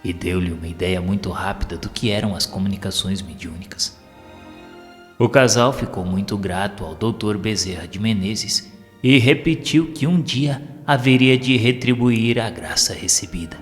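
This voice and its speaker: Brazilian man, narrator for an inspirational audio story; low register, long reverb, music underneath. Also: normal speed.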